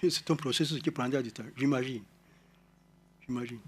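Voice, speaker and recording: A man from Rwanda with a Rwandan French accent, speaking to an audience in a halting, explanatory way, often repeating words and restarting. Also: medium pitch, breathy edge. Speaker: conference speaker. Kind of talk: lecture-style talk to an audience.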